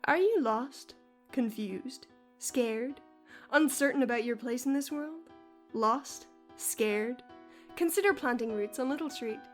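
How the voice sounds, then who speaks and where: A woman's voice: high-pitched, slow, faint music behind. An actress playing a prickly, mock-sweet saleswoman with sinister patter in a parody radio advert. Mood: eerily inviting. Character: sinister cheery female estate advertiser, prickly.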